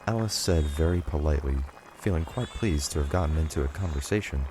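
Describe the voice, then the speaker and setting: A man with an extremely low voice narrates a storybook from a script. There is clear noise in the background behind his reading. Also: fairly quick.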